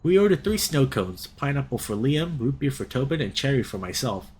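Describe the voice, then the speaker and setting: A man's voice: mid-range voice, sing-song, brisk, darkish tone. A young man hosting a let's play, reading a visual novel aloud expressively and reacting as he goes. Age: young adult.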